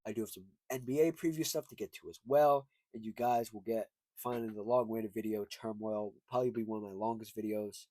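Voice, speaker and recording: A man's voice: fairly low pitch, fairly even intonation, fast talker, few pauses, dark tone, no echo. A man with a congested voice giving casual, unscripted sports commentary as a video host, thinking aloud as he goes.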